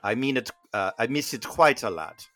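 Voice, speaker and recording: A man voicing a pompous fake-French gentleman with a put-on French accent, halting with constant "uh" fillers. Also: mid-range voice, expressive, close to an everyday voice. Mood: hesitant. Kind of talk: improvised comedy bit.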